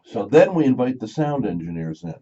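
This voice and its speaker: A man's voice: mid-range voice, very animated, fairly quick, dark tone, slightly rough. A man hosting a morning radio talk show, telling rambling stories and joking. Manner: rambling, jokey, acts out quotes of other people. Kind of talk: chatty radio talk, storytelling. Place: radio studio. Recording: slightly muffled radio broadcast.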